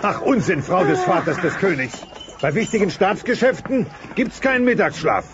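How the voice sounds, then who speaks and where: A self-important dinosaur father with a high, wildly swinging voice. He speaks grandly and boastfully, in theatrical style. The audio drama recording sounds muffled. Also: very animated, normal speed. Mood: pompous, scornful. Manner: pompous, theatrical. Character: pompous, boastful dinosaur father of the young king. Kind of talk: German audio play acting. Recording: dull cassette sound, faint noise.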